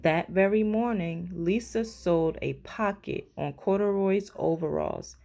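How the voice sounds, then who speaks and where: A woman narrates a children's storybook in a teacher's read-aloud style. Her voice is lowish and mellow, with a creaky edge. She reads slowly. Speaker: teacher reading a picture book aloud.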